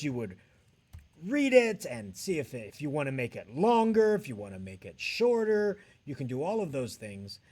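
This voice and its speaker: Male edtech trainer, medium pitch, explaining on-screen steps in a tutorial. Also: darkish tone.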